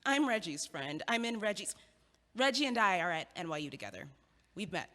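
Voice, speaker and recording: Casting: a woman as a lively, teasing student, animated and bright. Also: fairly low pitch. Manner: lively, teasing, quick to laugh. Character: bright, friendly young female college student. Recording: reverberant theater stage.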